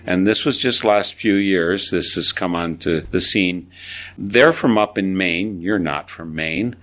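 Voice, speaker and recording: Deep-voiced older man, slow and deliberate. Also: a bit bright. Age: 70s. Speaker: radio show host. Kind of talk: interview questions and reflection.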